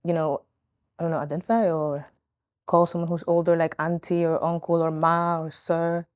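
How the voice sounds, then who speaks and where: A teenage girl speaks haltingly, pausing to search for her words. Her voice is smooth and clear and a little low, and the sound is close and dry. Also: little pitch movement, no echo. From born in Spain, living in Northern Ireland. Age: teens. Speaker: co-host. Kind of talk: casual chat.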